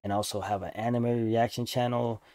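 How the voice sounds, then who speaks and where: A man's voice: lowish voice, steady tone, fast, darkish tone, no room sound. A young man giving casual, slangy reaction commentary in a laid-back way. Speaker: reaction video host. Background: game trailer music.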